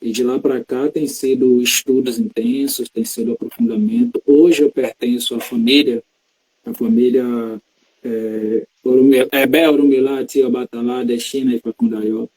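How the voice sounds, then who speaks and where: Man explaining in a dark, husky voice. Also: medium pitch, level tone, rough recording. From Salvador, Bahia, Brazil. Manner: measured, teacherly, explains terms patiently. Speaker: religious practitioner guest. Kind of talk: explanatory interview talk.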